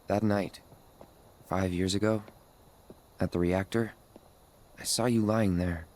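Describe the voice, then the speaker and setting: Low, slow, stoic young man. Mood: grave. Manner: terse, deadpan. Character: young male ex-soldier, stoic, withdrawn, terse. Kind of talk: understated video game cutscene acting.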